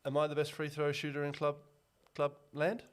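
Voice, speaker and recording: A man's voice: on the high side, monotone, a little fast, clear, resonant tone. A young man, co-hosting a casual sports podcast in an upbeat, chatty way and laughing easily. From New Zealand. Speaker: podcast co-host.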